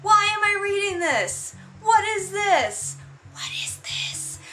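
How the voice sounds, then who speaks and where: A woman with a bright voice hosts a casual book read-along, picking the writing apart. She is sarcastic and exasperated, talking conversationally as if to friends. The room adds a noticeable echo, and faint music sits behind her. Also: extremely high pitch, animated, slow pace. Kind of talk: casual comedic book critique commentary.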